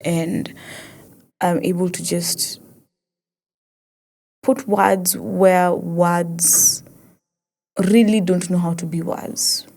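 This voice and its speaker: A young woman with an East African accent, talking casually and reflectively as a host and poet, expressive and often repeating herself as she searches for words. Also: fairly low pitch, drawn-out words, vocal fry, long pauses. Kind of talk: casual reflective monologue with code-switching.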